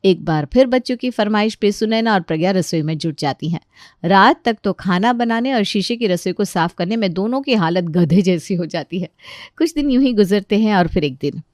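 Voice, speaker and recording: A woman's voice: medium pitch, animated, normal speed, darkish tone, no room sound, studio quality. A woman reading scripted narration for a children's story.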